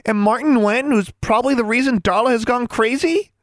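Audiobook part: fretful, fed-up schoolgirl, read by a man, voice lifted high, sarcastic, swooping emphasis. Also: sing-song, a little fast, no echo, clean studio sound. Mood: indignant. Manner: indignant, sarcastic. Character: anxious, exasperated young schoolgirl, sharply sarcastic.

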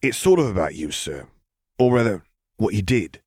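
A man's voice: lowish voice, very animated, moderate pace, darkish tone, breathy edge, dry recording, clean studio sound. Man voicing a drawling, wry female soldier. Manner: drawling, teasing. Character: rough-edged, wry female clone trooper, proudly womanly. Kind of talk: audiobook character dialogue.

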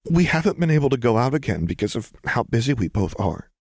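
A man voicing a distraught, lovestruck young woman in an audiobook story, hoarse and halting with emotion. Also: mid-range voice, big pitch swings, a little mellow, dry recording, studio quality, voice pushed up. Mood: bitter, sad. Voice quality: hoarse, breathy.

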